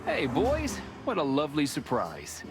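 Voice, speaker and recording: An actor playing a nervous, nosy seagull in a noir video game, chattering and stammering in an ingratiating way. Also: male voice, a little high, huge pitch swings, measured pace, music in the background, heavily acted.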